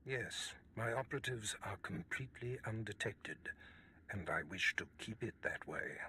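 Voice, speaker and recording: A man playing a commanding, scheming Sith lord in a video game, with formal, measured, imperious delivery. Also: lowish voice, expressive, bright, forceful tone, a little hiss or hum. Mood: cold, commanding. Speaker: voice actor in a game. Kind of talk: cinematic video game acting.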